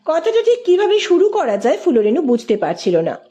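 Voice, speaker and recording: A woman's voice: high voice, huge pitch swings, fairly quick, dark tone. A woman reading a literary story aloud as a scripted narrator.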